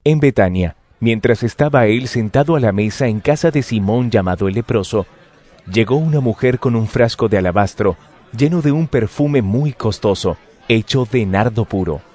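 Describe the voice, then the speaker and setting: A man giving formal, measured narration of scripture. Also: medium pitch, a little mellow.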